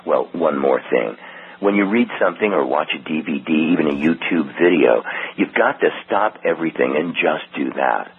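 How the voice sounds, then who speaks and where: A man's voice: very deep voice, expressive, moderate pace, slightly rough. A man reading a scripted radio commercial in a persuasive, conversational way. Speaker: ad announcer. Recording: tinny phone-like audio.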